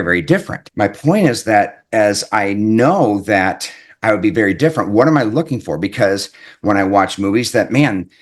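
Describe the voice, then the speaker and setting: A man giving an earnest, reflective self-help talk as an author, often repeating his points and checking in with "right?". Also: on the low side. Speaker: author and life coach.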